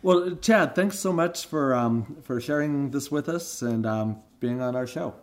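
A man's voice: medium pitch, a little slow. Chatty male podcast host. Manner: friendly, chatty, repeats words. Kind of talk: casual interview talk.

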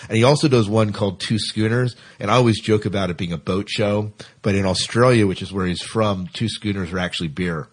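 Guy hosting a podcast, lowish husky voice, super casual, says stuff twice. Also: brisk, hardly any pauses. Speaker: podcast host. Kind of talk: casual chat.

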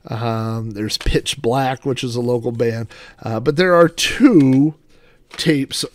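A man's voice: medium pitch, slow, dry recording. Middle-aged American man, casual and rambling, dry sound. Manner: rambling, anecdotal. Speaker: host of a personal collection show. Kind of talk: casual reminiscing show-and-tell.

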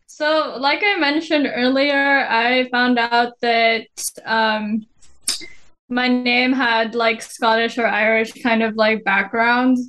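A soft-spoken woman from the Philippines talks as a podcast guest in an easygoing, chatty way. Her voice sits a little high and never gets loud. She fills her sentences with small hesitations. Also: takes it slowly, rough recording. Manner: relaxed, chatty, many fillers. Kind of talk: casual conversation.

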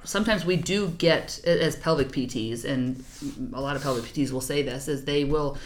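A woman's voice: a bass voice, moderate pace. A woman speaking as a podcast guest and physical therapist, explaining things in an agreeable, informal, conversational way. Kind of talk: casual interview conversation.

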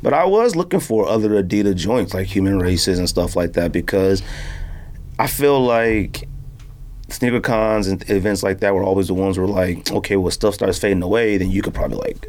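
A man's voice: low-pitched, lively intonation, moderate pace, a little mellow. A man hosting a podcast in casual, slangy conversation, telling stories and acting out quotes. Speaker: podcast host.

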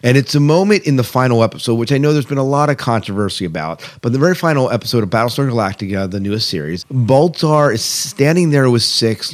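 A man co-hosting a podcast in a casual, chatty style about science fiction. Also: mid-range voice. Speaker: podcast co-host.

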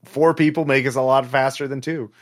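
A man acting as game master of a tabletop role-playing game, talking conversationally in a halting, self-correcting way. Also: mid-range voice, steady tone.

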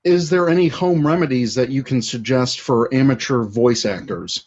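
A man's voice: medium pitch, normal speed, darkish tone. A man with a mid-range voice hosts a casual podcast interview. He reads out listener questions and gives short, affirming replies. The audio sounds muffled. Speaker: podcast host.

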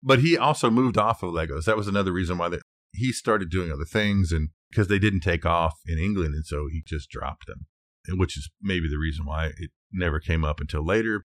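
Middle-aged guy podcast cohost, deep voice, no room sound, just casually telling facts. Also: fairly quick, no echo, clean studio sound. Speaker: podcast co-host. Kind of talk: casual conversation, sharing researched facts.

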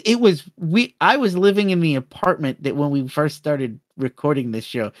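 A man co-hosting a casual film discussion, retelling scenes with animated acted-out quotes and often stumbling over repeated words. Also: on the high side, normal speed, no room sound, studio quality. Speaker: podcast co-host.

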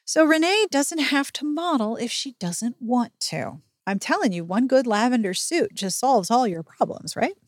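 A woman's voice: on the high side, dry recording, clean studio sound. A woman hosting a casual, chatty book recap podcast, speaking in an emphatic, sarcastic and sweary way. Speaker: podcast host recapping a novel.

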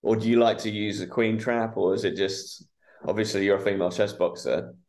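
A man hosts a podcast interview, asking his questions in a rambling way full of restarts. His voice sits somewhat low. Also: steady tone, brisk, darkish tone. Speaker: podcast host. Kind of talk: casual interview.

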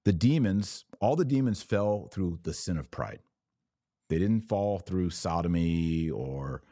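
A man with a deep, mellow voice hosts a religious podcast. He explains things emphatically and didactically, often posing rhetorical questions as he responds to listeners. The sound is dry and close. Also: no room sound. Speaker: podcast host. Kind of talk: religious commentary answering live viewer comments.

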